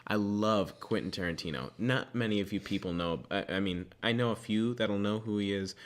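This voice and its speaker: A young man in his late teens co-hosting a podcast among friends, talking in an excitable, rambling way with mock-preachy jokes. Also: on the low side, brisk. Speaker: podcast co-host. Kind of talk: casual chat among friends.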